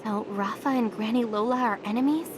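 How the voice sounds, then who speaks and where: A polite young heroine from a sci-fi anime game, voiced by a woman. Her voice is slightly high. She speaks earnestly and with curiosity, in the clean scripted manner of game dialogue. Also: fairly quick, background noise, natural voice. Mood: curious. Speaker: game character voice.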